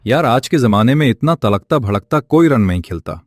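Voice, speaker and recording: A man's voice: mid-range voice, lively intonation, brisk, no room sound, clean studio sound, close to an everyday voice. A man playing a snide, judgmental young office friend in a melodramatic moral story. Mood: disdainful.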